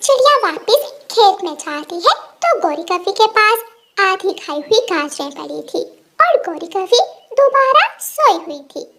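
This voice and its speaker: A woman's voice: extremely high pitch, sing-song, a little slow, pushed and bright. A woman narrating a scripted children's story.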